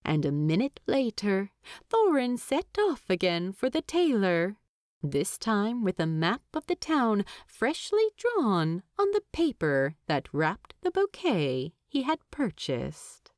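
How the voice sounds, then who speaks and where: A woman narrates fiction at a slow, deliberate pace. Her medium-pitched voice rises and falls expressively, and the recording is dry with no room sound. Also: wildly swooping pitch, darkish tone, dry recording. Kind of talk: expressive scripted story narration.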